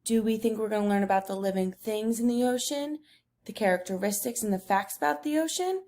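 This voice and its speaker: A woman with a fairly high voice talks as a teacher to young listeners during a read-aloud. Her delivery is instructive and full of questions, guiding children through a picture book. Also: brisk, mellow.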